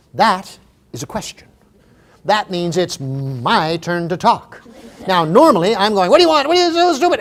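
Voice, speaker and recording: High-voiced Canadian man, comic motivational speaker. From Maritime Canada. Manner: animated storyteller, acts out exchanges, repeats phrases for emphasis. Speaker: humorous keynote speaker. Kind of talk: comic motivational talk to a live audience.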